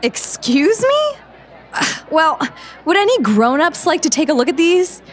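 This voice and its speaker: A woman's voice: extremely high pitch, big pitch swings, measured pace, soft background music, exaggerated voice. A woman acting a bold, indignant young woman in an audio drama. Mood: indignant, urgent. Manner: assertive.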